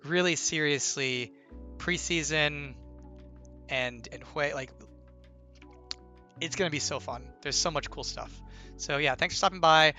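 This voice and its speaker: A man's voice: on the high side, unhurried, pauses often, bright, forceful tone, faint music behind. A young man who designs games, chatting casually to stream viewers, his voice slightly strained from illness and his talk rambling with lots of "like" and "right?". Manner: rambling explainer, heavy on "like" and "right?", playful teasing. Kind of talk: casual livestream Q&A.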